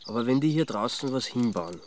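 A man playing a grumpy, sceptical city sleuth in a radio play. He has a mid-pitched Austrian voice and a sardonic delivery, over a faint bed of insects. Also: lively intonation, a little fast, a little hiss or hum, natural voice. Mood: curious, dry. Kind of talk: radio drama dialogue.

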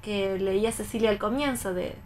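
A woman's voice: mid-range voice, normal speed, a little mellow. A woman with an Argentine accent taking part in a casual radio discussion as a panelist.

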